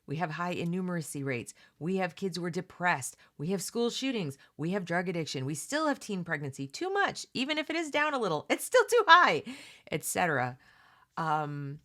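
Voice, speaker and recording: A woman's voice: lowish voice, very animated, normal speed, pushed and bright. A woman hosting a read-along, mixing reading aloud with casual, opinionated commentary in an emphatic, conversational way that addresses listeners directly.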